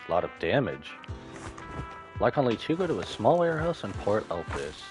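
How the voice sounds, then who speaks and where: A man streaming a game, reacting and reading the story text aloud in an expressive way. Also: on the low side, moderate pace, over music. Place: indoors, live stream with game music behind.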